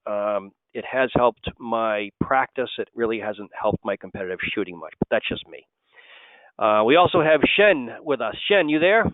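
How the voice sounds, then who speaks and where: A man's voice: fairly low pitch, lively intonation, moderate pace, dry recording. A man hosting a sales webinar in a casual, loose way, full of restarts and filler words. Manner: loose and conversational, many "uh" and restarts. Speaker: host, wholesale company president. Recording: tinny phone-like webinar line.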